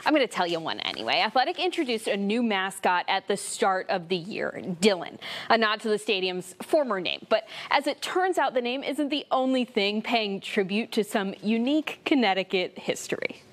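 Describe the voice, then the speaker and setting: Chatty American woman reporter, medium pitch. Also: very animated, moderate pace. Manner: chatty, good-humoured. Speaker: sports reporter. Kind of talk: light live studio reporting.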